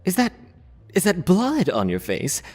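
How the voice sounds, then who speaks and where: A man's voice: sits high, huge pitch swings, measured pace, a little mellow, exaggerated voice. A man acting a fussy, polite, reserved shop assistant in an audio drama, speaking hesitantly. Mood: hesitant, concerned.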